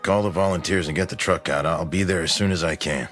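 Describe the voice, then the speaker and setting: Male actor, small-town sheriff, very low voice, brisk, radio drama. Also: steady tone, music in the background, lightly acted. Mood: urgent.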